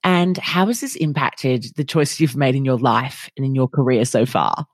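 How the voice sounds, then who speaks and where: A woman's voice: very deep voice, moderate pace, no room sound, clean studio sound. A woman hosting a podcast, reading an intro and then interviewing with effusive enthusiasm. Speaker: podcast host.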